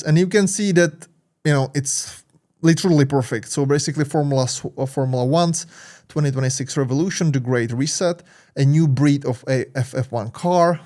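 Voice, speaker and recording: Czech-accented male tutorial host, mid-pitched voice, stop-start English with many fillers, keen. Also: dark tone. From Czech Republic. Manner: halting, many fillers and restarts; enthusiastic. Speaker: tech channel host. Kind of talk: tutorial walkthrough, casual.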